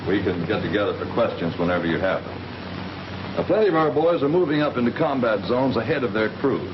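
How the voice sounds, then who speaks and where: An actor playing an easygoing, plain-spoken Army captain who teaches new fighter pilots, in a 1940s training film. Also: male voice, fairly low pitch, roomy sound, close to an everyday voice. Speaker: flight instructor. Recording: muffled old film soundtrack with hiss.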